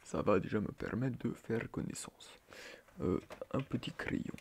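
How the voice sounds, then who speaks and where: Man acting as male teacher, ASMR roleplay, fussy, hesitant, formal, husky half-whisper, dark mellow tone. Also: on the low side, animated, unhurried, full character voice. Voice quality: breathy, soft, often near whisper. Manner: hesitant, muttering, stiffly formal. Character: fussy, flustered male schoolteacher on first day. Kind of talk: soft-spoken ASMR roleplay.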